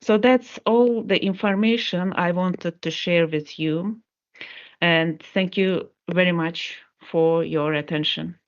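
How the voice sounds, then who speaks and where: Low-voiced woman wrapping up a presentation. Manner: measured, with filler pauses. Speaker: student chapter presenter. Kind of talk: presentation wrap-up. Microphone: video call. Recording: dull lo-fi web call audio.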